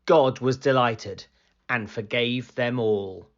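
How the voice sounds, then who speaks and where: Storybook reader, male, slow, animated read-aloud delivery, muffled lo-fi recording. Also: medium pitch. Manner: slow, expressive storytelling, rising for excitement. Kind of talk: children's picture book read-aloud.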